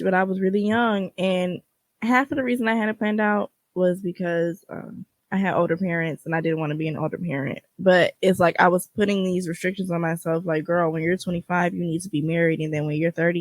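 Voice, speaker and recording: A woman's voice: lowish voice, no room sound. Girl in her 20s doing a chatty podcast, blunt and slangy, really dry close audio. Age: 20s (twenty-three). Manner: conversational, slangy, frank, swearing freely. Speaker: podcast host. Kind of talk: casual, candid solo podcast chat.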